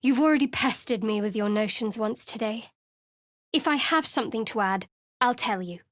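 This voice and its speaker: An actress voicing a guarded, secretive young woman cleric in a game, speaking in a measured, dry, cool manner. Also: fairly high pitch, no room sound, close to an everyday voice. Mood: cold, dismissive. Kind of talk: game voice acting. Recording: dry studio recording.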